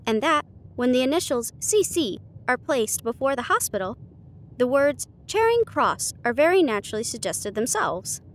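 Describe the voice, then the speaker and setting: A woman voicing a sharp, confident male detective with a precise, formal, condescending manner in an audiobook. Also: high voice, big pitch swings, normal speed. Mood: analytical.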